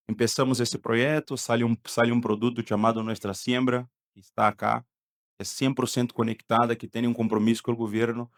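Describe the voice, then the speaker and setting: A middle-aged man with a Brazilian accent, a native Portuguese speaker, giving remarks on a panel as a company executive. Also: medium pitch.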